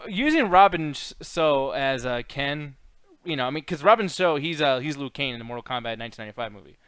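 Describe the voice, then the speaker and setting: A man's voice: mid-range voice, lively intonation, fast talker, a bit bright, clean tone, dry recording. A man hosting a movie countdown in casual, slangy, rambling and profane commentary. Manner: rambling, slangy, swears freely. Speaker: host of a movie countdown show.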